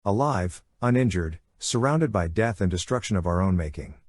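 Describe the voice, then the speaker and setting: Male audiobook narrator, lowish and husky, delivering scripted first-person action drama in a dry, close sound. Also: expressive, moderate pace, a little mellow, dry recording.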